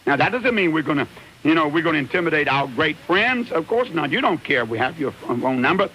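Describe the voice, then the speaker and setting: A man's voice: a little high, animated, fast, bright, forceful tone. A man hosting a live religious call-in radio show as a preacher, speaking earnestly and emphatically and often repeating himself. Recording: hissy, noisy radio broadcast recording.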